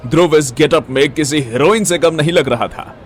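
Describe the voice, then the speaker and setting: A man's voice: on the high side, normal speed, slight background noise. A man narrating a scripted Hindi audio story as its storyteller.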